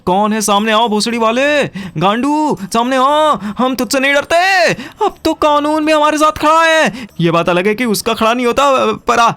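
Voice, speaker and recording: A man voicing an excitable, indignant, foul-mouthed young friend in a comic audio drama, ranting and accusing. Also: very high-pitched, moderate pace, hardly any pauses, clean tone, voice pushed up, full character voice. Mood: furious.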